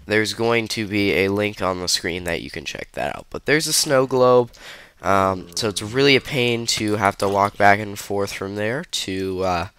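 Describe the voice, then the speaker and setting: A man's voice: fairly low pitch, measured pace, a bit bright, clean tone. Stuffy young man rambling over gameplay. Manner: loose, rambling, lots of ums and uhs. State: stuffy, under the weather. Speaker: gaming video host. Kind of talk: casual gameplay commentary.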